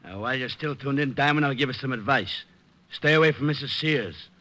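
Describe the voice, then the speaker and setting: An actor playing a threatening, deliberate thug in 1940s radio style. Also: male voice, mid-range voice, pushed and bright, lightly acted. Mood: menacing. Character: menacing thug warning a detective off. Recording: noisy vintage radio recording with clicks.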